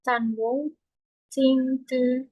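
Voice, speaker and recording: A woman student in a language class, repeating the teacher's sentences slowly and carefully. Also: high-pitched, flat delivery, mellow, breathy edge, no room sound, poor audio. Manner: very slow, careful repetition.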